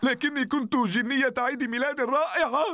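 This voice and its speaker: A man's voice: extremely high pitch, fairly even intonation, brisk, pushed and bright, heavily acted. A man voicing a hapless, theatrical villain in a dubbed children's TV show. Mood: pleading. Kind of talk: children's TV show dub acting. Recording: music under the voice.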